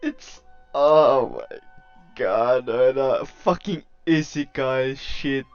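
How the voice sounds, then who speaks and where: A man reacting loudly to a game, cursing and laughing. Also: mid-range voice, extremely slow, clear, resonant tone, lo-fi sound, faint music behind. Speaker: streamer.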